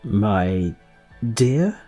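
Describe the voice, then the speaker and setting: A man acting a courteous older gentleman in a radio play, with a low, mellow voice. He is polite, modest and gently fond, and music plays behind him. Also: very animated, drawn-out words, exaggerated voice. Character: courteous, self-deprecating older gentleman sculptor, fondly repentant. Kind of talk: scripted audio drama acting.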